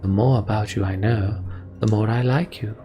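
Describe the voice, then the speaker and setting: A man voicing a scheming female healer in a game, sly and confiding, with drawn-out phrases. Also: on the low side, fairly even intonation, warm tone, lightly acted. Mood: sly, friendly. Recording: background noise.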